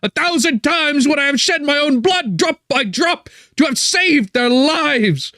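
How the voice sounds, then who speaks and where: A man voicing a tormented young gentleman, despairing and declaiming in broken, impassioned phrases for an audiobook. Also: very high voice, expressive, a little slow, dry recording, studio quality, pitched above the performer's own voice, exaggerated voice. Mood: frantic anguish. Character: anguished, guilt-ridden young gentleman, frantic and despairing.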